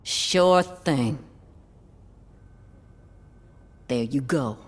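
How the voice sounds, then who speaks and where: A woman's voice: extremely low pitch, animated, takes it slowly, breathy edge, heavily acted. A woman playing a tough but shaken police officer in a video game, plain-spoken and guarded. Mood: subdued.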